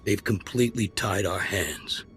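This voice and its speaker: A man's voice: low-pitched, measured pace, a bit bright, slightly rough, full character voice. A man playing a grim, pragmatic military commander in a video game, giving terse, matter-of-fact briefings. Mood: grim, frustrated. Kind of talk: cinematic video game voice acting. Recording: music behind the voice.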